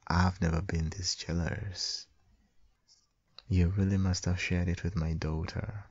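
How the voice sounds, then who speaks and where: A man with a gravelly, husky voice doing a soft, intimate boyfriend ASMR roleplay as a loving, doting husband and father. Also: deep voice, flat delivery, muffled recording. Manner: tender, doting, slow, murmured with soft hums.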